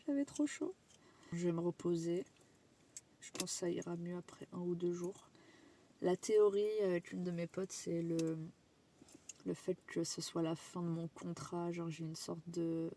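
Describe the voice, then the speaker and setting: A young woman chats to her camera in a loose, rambling vlog style and swears now and then. She sounds sick and worn out. Her voice sits low and carries a gravelly fry. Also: a little mellow, slight background noise. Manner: chatty, rambling, casual swearing. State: sick and tired. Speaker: vlogger. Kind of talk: casual vlog chat to camera.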